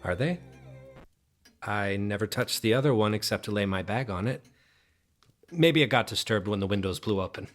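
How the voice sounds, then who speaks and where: An actor playing a haunted, anxious guest in a supernatural audio drama, speaking in halting, short phrases with a dramatic score behind him. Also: male voice, lowish voice, soft background music, lightly acted. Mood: shaken, polite. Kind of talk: first-person audio drama narration with dialogue.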